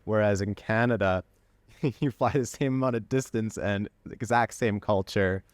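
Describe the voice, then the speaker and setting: A man hosting a casual conversational interview, thinking aloud in a halting way. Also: on the low side, no room sound. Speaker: podcast host.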